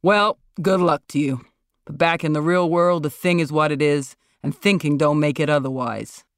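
A woman's voice: sits low, fairly even intonation, normal speed, no echo. Woman acting older male thespian, sneery, pompous, declamatory, story reading, dry studio sound. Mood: sneering.

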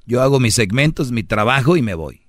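A man's voice: mid-range voice, sing-song, fairly quick, no echo. A man hosting a radio show, teasing callers and lecturing in a mock-preachy way, dragging out words for emphasis. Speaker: radio host.

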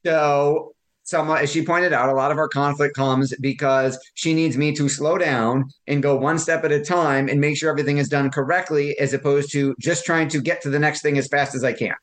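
A man's voice: fairly high pitch, fairly even intonation, normal speed. A man guest on a podcast who talks in a joking, self-deprecating way and tells playful stories. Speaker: podcast guest, author and business owner. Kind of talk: casual podcast interview.

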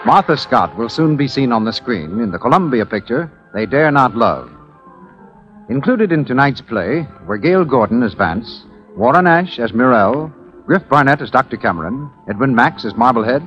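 A man's voice: medium pitch, a bit bright. A man working as a 1940s radio announcer, reading scripted material formally. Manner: formal, crisply enumerating. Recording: dull 1940s radio recording.

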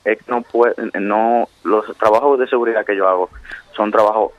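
A man from New York with a Dominican accent, speaking haltingly and pleadingly in an unscripted phone conversation. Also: on the low side, fairly even intonation, background noise. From Queens, New York, of Dominican parents. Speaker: prank call victim, security worker. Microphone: telephone. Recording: dry, dull phone call.

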